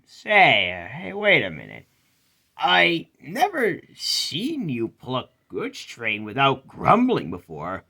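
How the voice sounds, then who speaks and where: A man voicing a grumpy male dockside crane in a fan-made cartoon dub, gruff and suspicious. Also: mid-range voice, wildly swooping pitch, slow pace, pushed and bright, heavily acted.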